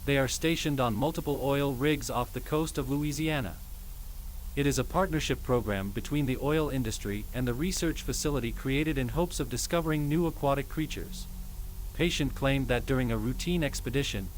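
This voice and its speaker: A man acting as a male doctor records a grim patient report for an analog horror piece. His mid-pitched voice is formal and clinical, with measured delivery. Some background noise sits under the recording. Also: natural voice, rough recording. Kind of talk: analog horror scripted narration.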